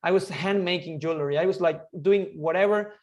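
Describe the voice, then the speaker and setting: A man, talking eagerly and quickly as an interview guest, often restarting his sentences. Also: sits high. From Argentina. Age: 20s. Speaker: guest entrepreneur. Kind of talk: casual interview chat.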